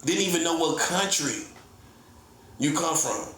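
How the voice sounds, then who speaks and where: A man's voice: on the high side, lively intonation, unhurried, a bit bright, echoey room. A man giving a casual comedic monologue as an online preacher, teasing his listeners, laughing often and acting out mock conversations. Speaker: self-styled prophet and online preacher.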